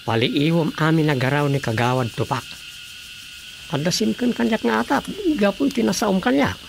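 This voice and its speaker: A man's voice: fairly high pitch, lively intonation, moderate pace, creaky, a little hiss or hum. A man acting a scheming, bossy village officer-in-charge in an Ilocano radio comedy drama, with an Ilocano Filipino accent. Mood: secretive, commanding.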